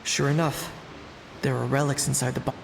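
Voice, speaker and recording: Investigator, male: gravelly, inquisitive, calm inner monologue man acting. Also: mid-range voice, fairly quick, slight background noise, lightly acted, low-quality recording. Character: shrewd young man investigating smugglers. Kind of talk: game narration and monologue.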